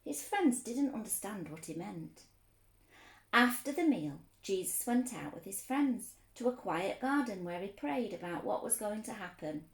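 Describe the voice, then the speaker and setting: A woman reading a story aloud for children, expressive, explaining things simply as a teacher would. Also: mid-range voice, roomy sound, rough recording.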